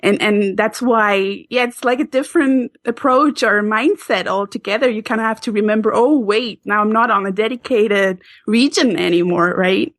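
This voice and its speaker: A woman's voice: medium pitch, moderate pace, few pauses, dry recording. A Swiss woman with a Swiss German accent, chatting casually as a podcast guest, laughing often and restarting sentences. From Switzerland.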